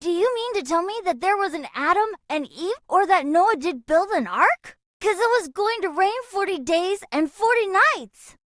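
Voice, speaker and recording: A woman's voice: very high-pitched, expressive, normal speed, few pauses, a bit bright, no echo, studio quality. A woman voicing a cocky, skeptical young boy who argues in an emphatic, scornful way.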